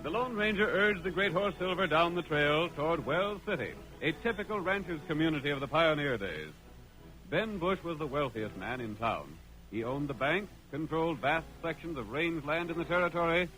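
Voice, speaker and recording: A man's voice: a little high, a bit bright, poor audio. An American man narrating a vintage radio western in an emphatic, declamatory, dramatic scripted style. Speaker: announcer and narrator. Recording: old radio broadcast.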